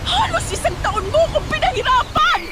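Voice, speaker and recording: A woman's voice: extremely high pitch, big pitch swings, brisk, a loud crowd, heavy background noise. A woman playing a jilted former teacher who confronts her rival with accusations in a scripted audio drama, over background music. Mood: furious. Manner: accusing, rising to shouting. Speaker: former high school chemistry teacher.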